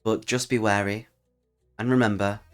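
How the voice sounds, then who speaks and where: A man's voice: on the low side, fairly even intonation, moderate pace, faint music behind. A man hosting a solo tabletop roleplaying channel, speaking as a storyteller to his listeners. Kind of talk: storytelling narration and casual channel talk.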